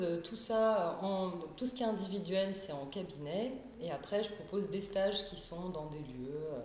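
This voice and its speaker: A woman's voice: fairly low pitch, darkish tone, reverberant, slight background noise. A woman from Paris, an art therapist, answering questions in a live interview, shy and hesitant, with many fillers and restarts. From Paris, France. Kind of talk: live-stream interview, casual.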